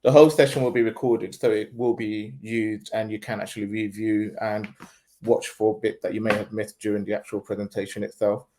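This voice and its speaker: A man hosting and interviewing on an online webinar call, talking in a relaxed, conversational way with ums and restarts. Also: fairly low pitch, brisk, a little hiss or hum.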